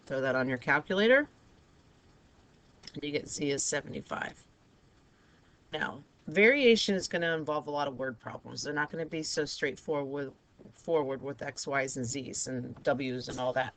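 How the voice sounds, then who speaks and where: Woman, raspy gravelly voice, hoarse, patient math teacher. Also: very deep voice, moderate pace, fairly bright tone. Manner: patient, talks students through each step. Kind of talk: recorded math lesson over a digital whiteboard.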